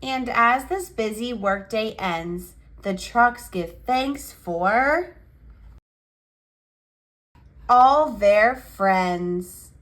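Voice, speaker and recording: A woman reading a picture book aloud for young children in an expressive, cheerful and measured storytelling manner. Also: on the high side. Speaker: children's picture book reader and channel host.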